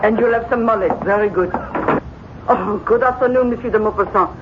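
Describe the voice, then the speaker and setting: An actress playing a polite, busy provincial fishmonger in a radio drama, chatty and courteous. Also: female voice, medium pitch, normal speed, natural voice. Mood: brisk courtesy. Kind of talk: 1970s radio drama acting. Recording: muffled, noisy old radio broadcast.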